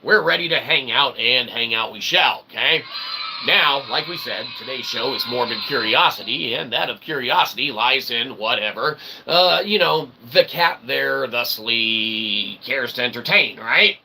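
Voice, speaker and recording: A man's voice: medium pitch, a little slow, gravelly, background noise. A man hosting a comedic philosophy show in a mock-grandiose, wordy monologue with ornate phrasing. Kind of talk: comic monologue.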